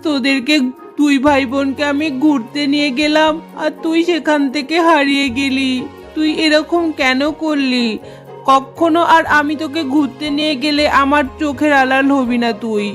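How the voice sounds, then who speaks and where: Woman as a high-voiced fussing grandmother bird. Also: fairly even intonation, moderate pace, a bit bright. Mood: worried scolding. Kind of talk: children's cartoon dialogue. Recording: music under the voice.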